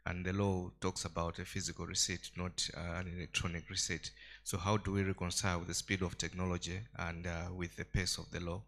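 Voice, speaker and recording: Deep, even-voiced man, hesitant and measured. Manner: hesitant, measured, frequent pauses. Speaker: audience member asking a question. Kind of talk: conference question from the floor. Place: conference hall.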